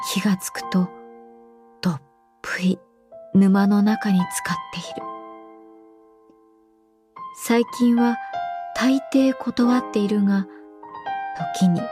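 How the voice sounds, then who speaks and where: A woman's voice: mid-range voice, lightly acted. A woman performing a radio drama monologue as a dry, reflective travel agency employee of 38.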